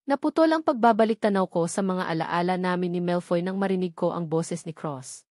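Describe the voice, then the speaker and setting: A woman's voice: lowish voice, a little fast, darkish tone, dry recording, clean studio sound. A woman with a Filipino accent reading scripted narration from a romance novel. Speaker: audiobook narrator.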